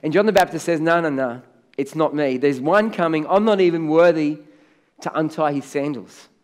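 A man's voice: a little high, normal speed, a little mellow. A middle-aged man with an Australian accent preaching a sermon on a church stage, chatty, then rising to emphatic on key words. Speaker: pastor. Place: large church stage with blue lighting.

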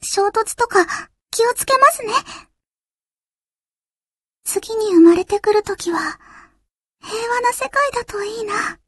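A woman voicing a timid, gentle little warship girl for an anime game, with a childlike, hesitant delivery. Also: very high-pitched, lively intonation, takes it slowly, long pauses, no room sound, exaggerated voice. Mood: wistful, gentle. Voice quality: girlish, childlike. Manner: hesitant, soft-hearted, cutesy. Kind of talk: anime game character voice lines.

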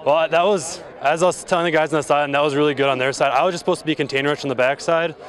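A man's voice: on the high side, steady tone, rapid-fire, a bit bright. A young man, a college football lineman, answering postgame questions outdoors confidently and fluently. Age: young adult.

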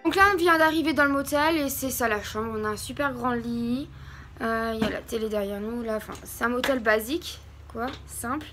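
Une jeune femme d'une vingtaine d'années qui raconte son road trip en vlog, bavarde et essoufflée après la montée, filmée au téléphone tenu à bout de bras. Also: female voice, a little high, brisk, background noise. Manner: chatty, breathless after climbing. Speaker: travel vlogger. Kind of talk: casual travel vlog. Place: outdoors on mountain trails and in a motel room. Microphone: phone held at arm's length.

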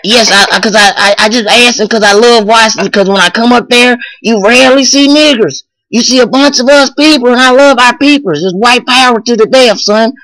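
A man's voice: very high-pitched, fairly quick, few pauses, pushed and bright, no room sound, full character voice. A young man voicing a brash, insistent rural bigot in a comic prank phone call. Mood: boastful, pushy. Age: young adult. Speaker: prank caller. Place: small room indoors, close to a webcam, holding a phone.

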